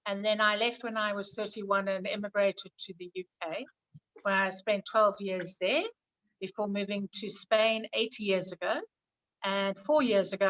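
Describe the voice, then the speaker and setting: A woman's voice: mid-range voice, fairly bright tone. South African woman on a dull video call. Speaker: guest, animal communicator. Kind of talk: interview answers. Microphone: video call from Kenya.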